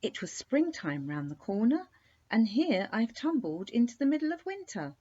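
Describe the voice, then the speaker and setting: A woman's voice: a little high, big pitch swings, moderate pace, mellow, muffled recording, pitched above the performer's own voice. A woman voicing a polite, fearless little princess in a children's story, with a courteous, knowing manner. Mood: surprised dismay.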